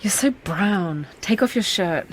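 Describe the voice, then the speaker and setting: A woman's voice: mid-range voice, expressive, unhurried, a little hiss or hum, natural voice, rough recording. An actress playing a frank, feisty, wounded young woman in love in a naturalistic radio drama, blunt and teasing in manner.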